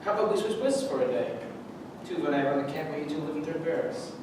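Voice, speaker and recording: An actor playing a defiant, wry Russian officer held captive, with a dry teasing manner in a staged reading. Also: male voice, animated, fast, natural voice. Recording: very echoey room, distant mic, noisy rumble.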